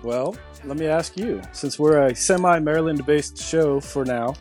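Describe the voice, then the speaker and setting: A man's voice: on the high side, unhurried, darkish tone, faint music behind. A middle-aged man co-hosting a podcast, telling stories with enthusiasm in casual chat. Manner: enthusiastic storyteller. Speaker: podcast co-host.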